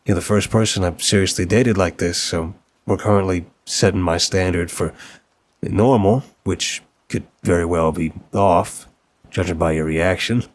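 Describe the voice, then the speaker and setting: Man playing a deep-voiced, sweetly apologetic mafia boss. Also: moderate pace, natural voice. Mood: sheepish, candid. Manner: polite, earnest, hesitant with stammers and soft chuckles. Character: apologetic, affectionate young mafia boss, devoted boyfriend. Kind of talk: intimate ASMR roleplay audio drama.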